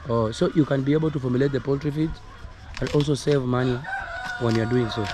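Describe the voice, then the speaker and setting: Hoarse Kenyan man on a noisy farm. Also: mid-range voice, fairly even intonation, quick pace. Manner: repetitive, earnest, coaching tone. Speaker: poultry farmer. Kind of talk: casual instructional vlog. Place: outdoors on a poultry farm. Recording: noisy outdoor recording with roosters crowing.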